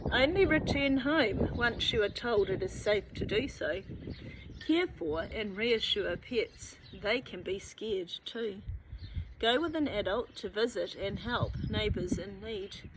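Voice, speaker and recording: Woman, New Zealand accent, story time host, informal presenting, medium pitch, noisy rural outdoor ambience. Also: expressive, slow.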